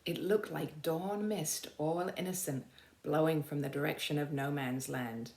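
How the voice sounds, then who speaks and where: A woman narrating a children's storybook aloud with dramatic, deliberate emphasis. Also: low-pitched, steady tone, gravelly, a little mellow, reverberant. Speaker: teacher reading aloud.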